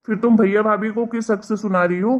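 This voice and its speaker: A woman voicing an exasperated, reproachful young husband in an animated family drama, lecturing and scolding. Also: mid-range voice, a little fast, natural voice.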